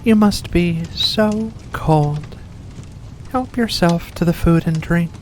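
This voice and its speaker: A performer voicing a mysterious, seductive vampire in a slow, deliberate ASMR roleplay, courteous and teasing. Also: medium pitch, a little mellow, close to an everyday voice. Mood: courteous, luring. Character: seductive mysterious vampire host luring in a guest. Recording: faint background noise.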